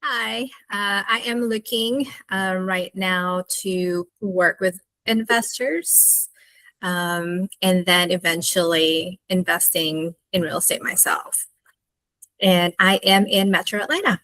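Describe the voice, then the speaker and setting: A woman speaks up on a video call, slowly and hesitantly, with pauses and fillers. Her voice sits a little low and the sound is dry. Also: fairly even intonation, bright, forceful tone, no room sound, low-quality recording. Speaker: webinar attendee.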